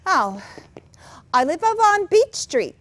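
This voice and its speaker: Actress as earnest simple mom of many kids, stage reading, high-pitched, slow delivery, dry sound. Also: female voice, big pitch swings, dry recording, full character voice. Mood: wistful. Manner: simple, plain-spoken, earnest. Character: naive, earnest housewife and mother of six. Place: children's theatre stage, filmed staged reading.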